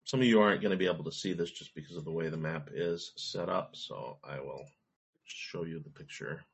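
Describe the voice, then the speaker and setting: A man running a tabletop game as game master, relaxed and pausing often with fillers. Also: extremely low pitch, gravelly, a little hiss or hum. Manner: easygoing, halting with fillers.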